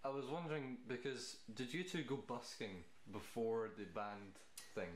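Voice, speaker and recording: A young man asking interview questions in a casual, halting way with lots of ums. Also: medium pitch. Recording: echoey room, faint noise.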